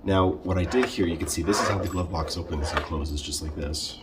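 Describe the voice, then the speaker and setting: A man talking casually through a how-to vlog in a halting, conversational way, often correcting himself. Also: deep voice, very noisy. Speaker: video creator showing a car radio install.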